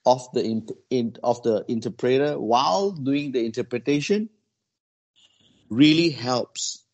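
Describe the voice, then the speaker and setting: A man recounts a story to a small group. He talks in a halting, conversational way, restarting his phrases and filling the gaps with "you know." His voice sits at an ordinary middle pitch.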